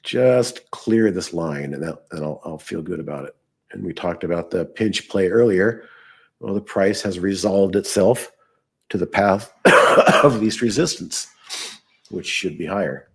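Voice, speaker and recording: Low, husky-voiced man talking through trades. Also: measured pace. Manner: thinking aloud while working on screen. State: hoarse, losing his voice. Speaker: trading show host. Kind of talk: live trading commentary.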